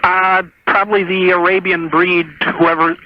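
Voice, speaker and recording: Higher-voiced male caller on noisy phone line. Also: flat delivery, moderate pace. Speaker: caller to radio show. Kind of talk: casual call-in conversation. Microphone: telephone.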